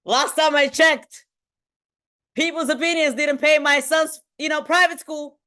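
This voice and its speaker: Energetic woman, motivational speaker. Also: measured pace. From Bulgaria. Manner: energetic, tags nearly every point with "right?", swings between high and low pitch. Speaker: motivational speaker and team trainer. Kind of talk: motivational talk to an online group.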